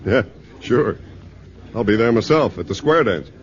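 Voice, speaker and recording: An actor playing a relaxed, folksy small-town sheriff in a 1950s radio drama. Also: male voice, on the low side, a little slow. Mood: easygoing. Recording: muffled vintage radio broadcast with music.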